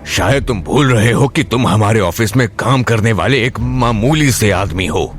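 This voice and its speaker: A man's voice: on the low side, expressive, normal speed, natural voice. A man playing a stern, authoritative old patriarch of a wealthy family in a Hindi audio drama. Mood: angry, indignant. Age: older. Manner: authoritative, indignant. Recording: music under the voice.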